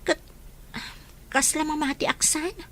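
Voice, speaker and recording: A woman's voice: sits high, measured pace, a bit bright. A woman with an Ilocano accent acting a gossipy young friend sharing news in an audio drama. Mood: confiding.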